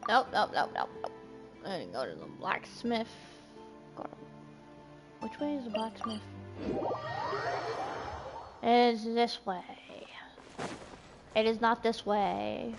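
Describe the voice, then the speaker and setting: A woman with a high voice chats casually through a game stream. She thinks aloud and slips into little sing-song filler sounds, with faint game music behind her. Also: very animated, takes it slowly, creaky, pauses often. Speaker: livestreamer playing a story game.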